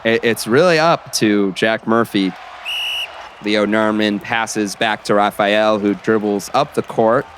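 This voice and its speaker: A man playing an excited basketball play-by-play announcer on the radio in an audio drama, with dramatic, emphatic calls. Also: on the low side, unhurried, some chatter behind, natural voice. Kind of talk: sports commentary in an audio drama. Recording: basketball game noise behind.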